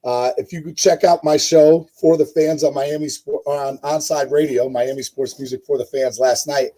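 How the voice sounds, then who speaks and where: A man with a hoarse voice hosting a casual live-stream sports show, rambling with many fillers and talking to his chat viewers. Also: on the high side, steady tone, moderate pace, mellow, low-quality recording. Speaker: host.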